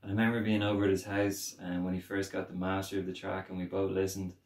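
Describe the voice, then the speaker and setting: A young man chatting casually to camera about playing a guitar cover, relaxed, with lots of ums. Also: low voice, quick pace, recorded from a distance. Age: young adult. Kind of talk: casual video intro. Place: small wooden home studio cabin. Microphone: camera mic from a few feet away. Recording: roomy wooden room, off-mic.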